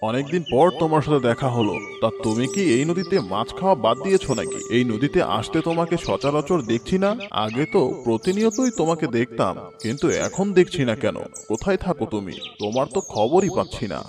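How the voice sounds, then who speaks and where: Man voicing a lively, curious cartoon crocodile. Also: a little high, a little fast. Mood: friendly, curious. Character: curious, gullible male crocodile, eager for tasty food. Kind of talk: Bengali children's cartoon voice acting. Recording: background music with birdsong.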